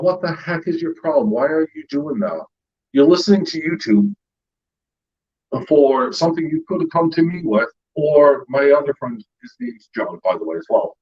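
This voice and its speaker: A man's voice: mid-range voice, darkish tone, slightly rough, muffled recording. A middle-aged American man, a retired paramedic, hosting a casual live stream and rambling with fillers and laughs. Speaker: YouTube channel host.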